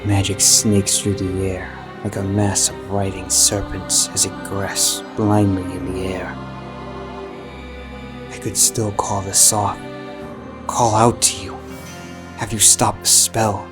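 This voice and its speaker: A man narrating fan fiction in the first person as an anguished, guilt-ridden living book, measured, halting and melodramatic. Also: low voice, little pitch movement, over loud music. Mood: remorseful.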